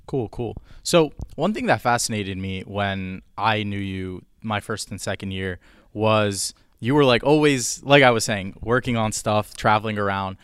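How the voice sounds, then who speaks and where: Young man, interview host, easygoing, smooth low voice, dry close sound. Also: a bit bright, no echo. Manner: easygoing, friendly questions with restarts. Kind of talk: casual interview.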